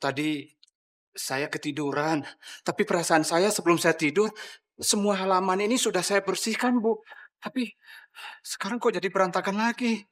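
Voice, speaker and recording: An actor playing a meek, respectful gardener with a deferential manner and an Indonesian accent in a television drama. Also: male voice, high voice, moderate pace, pauses often, a bit bright. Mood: apologetic, puzzled.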